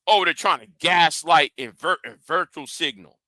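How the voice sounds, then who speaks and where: A man's voice: fairly high pitch, normal speed, bright, forceful tone, dry recording. A man hosting his own channel, delivering a combative, profane rant and taunting viewers. He often repeats words and restarts phrases. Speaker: channel host. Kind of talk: confrontational livestream rant.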